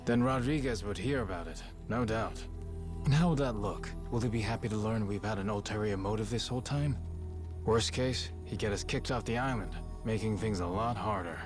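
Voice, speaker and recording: A man's voice: fairly low pitch, moderate pace, over music, lightly acted. A man playing a pragmatic, wary yakuza henchman in a video game, speaking casually in dry tough-guy slang. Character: pragmatic, wary adult male yakuza underling. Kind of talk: video game cutscene acting.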